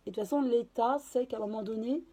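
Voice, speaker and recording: A woman talks through a card reading in a voice made husky and hoarse by a cold. Her tone is very dark and mellow. She hesitates often and restarts her phrases. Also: fairly high pitch, quick pace. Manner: hesitant, many fillers and restarts. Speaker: card reader and channeler. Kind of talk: casual tarot reading to camera.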